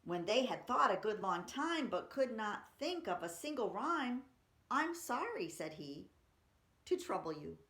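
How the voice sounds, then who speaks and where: A woman's voice: medium pitch, sing-song, measured pace, a bit bright. A woman reading poetry aloud for children and chatting between poems, explaining simply and reading expressively. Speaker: library story-time reader. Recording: roomy, echoey room sound.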